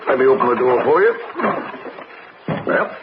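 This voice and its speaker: A man playing a paternal middle-aged rancher husband in an old-time radio drama, courteous and halting. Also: medium pitch, animated, lightly acted. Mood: hearty, genial. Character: courtly older rancher husband, guarded and solicitous. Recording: muffled, noisy old radio broadcast.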